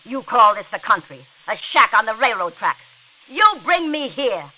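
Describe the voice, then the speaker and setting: An American actress playing a bitter, taunting unfaithful wife in a 1940s radio drama, with a sneering, cutting delivery. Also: female voice, a little high, big pitch swings, normal speed. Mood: scornful, mocking. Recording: dull, noisy old radio recording.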